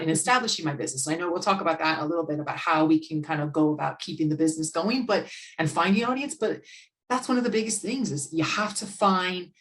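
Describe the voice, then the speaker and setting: An outgoing American woman, a guest expert, chatting casually about business and restarting her sentences often. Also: on the low side. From United States. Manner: outgoing, speaks in quick bursts with restarts.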